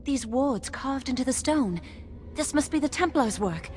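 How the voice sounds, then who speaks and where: A woman's voice: sits high, expressive, normal speed, a little mellow. Earnest young fantasy chantry woman. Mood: distressed. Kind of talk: fantasy video game acting.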